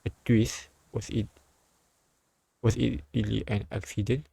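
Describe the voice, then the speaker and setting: Low, creaky man reading aloud. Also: flat delivery, mellow, dry recording. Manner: halting, repeats and rereads phrases. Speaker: live streamer reading a visual novel aloud. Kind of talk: read-aloud narration and dialogue translation with side comments.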